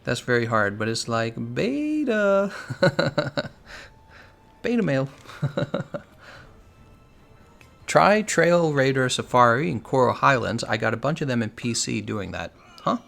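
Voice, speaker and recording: A man's voice: medium pitch, very animated, slow pace, vocal fry, pauses often, a bit bright, faint music behind. A young man with an American accent, chatting casually on a game stream, answering viewers and laughing often. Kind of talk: casual live-stream commentary.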